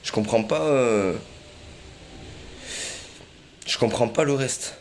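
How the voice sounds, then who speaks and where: A man's voice: mid-range voice, moderate pace. A man rambling in a casual monologue, repeating himself often, with many fillers and little chuckles.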